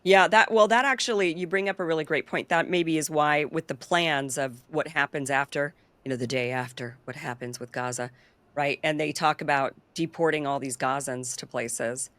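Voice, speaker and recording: A woman's voice: low voice, normal speed, a bit bright, dry recording. A woman hosting a political talk show, chatting in an opinionated, loose way and laughing easily. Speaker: show host. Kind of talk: casual political chat.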